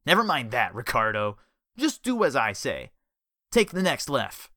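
A man's voice: medium pitch, wildly swooping pitch, unhurried, pushed and bright, dry recording, clean studio sound, full character voice. A man voicing a gloating, vengeful male crook who threatens with a knife. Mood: threatening.

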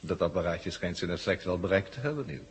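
Reverberant recording, sneering male henchman, low pitch, sardonic, taunting, 1970 radio play. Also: animated, fast talker. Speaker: radio drama actor.

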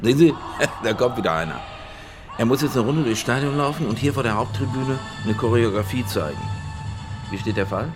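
A man plays a slippery, imperious businessman in a German radio crime play. He is brusque, jocular and demanding, in a mid-pitched voice over clearly audible music. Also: expressive, brisk, natural voice. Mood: amused.

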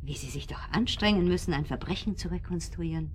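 A woman's voice: on the low side, a little fast, a little hiss or hum, natural voice. A woman acting in a radio drama as a haughty, scornful wife under accusation, speaking in formal, condescending phrases. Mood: pitying scorn.

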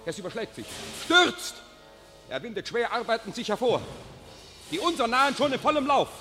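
An actor playing a soldier who reports a battle from a tower in urgent, breathless verse in a classic radio play. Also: male voice, extremely high pitch, big pitch swings, brisk, bright, forceful tone, exaggerated voice. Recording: reverberant studio with faint music.

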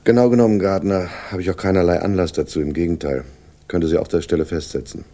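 An actor in a radio crime play playing a shrewd, sardonic police inspector, speaking with dry, deliberate condescension and a husky voice. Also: male voice, low-pitched, steady tone, dark tone. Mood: coolly threatening. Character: sardonic, shrewd male police inspector, world-weary but superior. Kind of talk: 1960s German radio play acting, dialogue.

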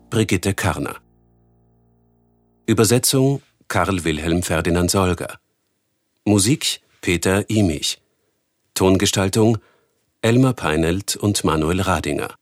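A man reading scripted radio credits as an announcer in a measured, formal manner. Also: deep voice. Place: dry radio studio.

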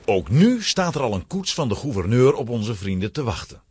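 A man narrating a scripted children's story with a husky voice. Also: medium pitch, sing-song, normal speed, mellow.

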